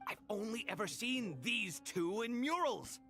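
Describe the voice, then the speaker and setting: Eager young game architect, high lively voice, music behind. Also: male voice, measured pace, full character voice. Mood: cheerful. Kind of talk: video game voice acting.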